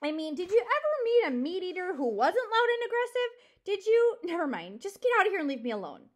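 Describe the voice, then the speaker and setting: A cranky old male porcupine out of a storybook, acted out by a woman. He is high-voiced for an old grump and swoops about as he scolds. He blusters and bellows with open scorn. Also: big pitch swings, rapid-fire. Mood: scornful, ranting. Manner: blustering, insulting, bellowing and screeching. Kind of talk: animated storybook character reading.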